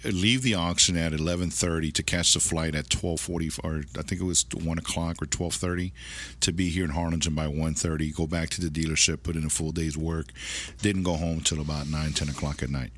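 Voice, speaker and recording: A middle-aged man with a notably deep, low voice talks casually as an interview guest. He sounds like a manager explaining his work, circling back over phrases as he goes. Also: fairly even intonation, a little fast, a bit bright. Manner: rambling, repeats phrases.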